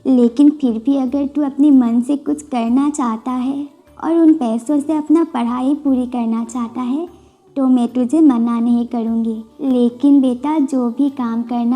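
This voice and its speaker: A woman voicing a kindly, devout grandmother in an animated moral story, speaking gently and earnestly. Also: fairly high pitch. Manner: gentle, slow, earnest advising.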